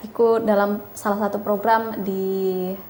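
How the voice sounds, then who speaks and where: A woman's voice: mid-range voice, little pitch movement, measured pace, darkish tone. A woman giving hesitant answers in an interview. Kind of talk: interview talk. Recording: echoey room with faint noise.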